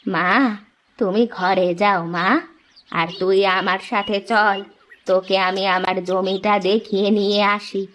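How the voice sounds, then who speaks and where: A woman voicing a kind, generous old village woman who speaks slowly and gently. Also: mid-range voice, little pitch movement, a little hiss or hum, voice pushed down, lightly acted. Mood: kindly. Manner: slow, gentle, motherly speech.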